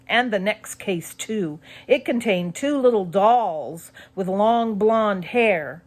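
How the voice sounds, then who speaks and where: A woman reading a spooky children's story as scripted narration, expressive and suspenseful. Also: mid-range voice. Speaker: audiobook narrator.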